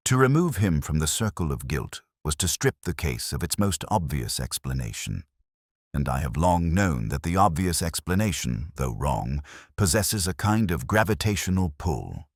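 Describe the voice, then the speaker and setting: A man acting a brooding police inspector from a detective audio drama, with an extremely low voice. He narrates his case in measured, literary phrases, melancholy and introspective. The pitch moves expressively, and the recording is dry and close. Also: big pitch swings, no echo, exaggerated voice. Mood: bitter, unsettled.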